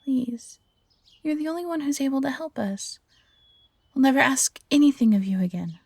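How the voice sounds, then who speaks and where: A woman playing an earnest, pleading fox-hybrid adventurer in a fantasy audio roleplay, questioning in a husky, soft-edged voice. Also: high-pitched, lively intonation, normal speed, no room sound.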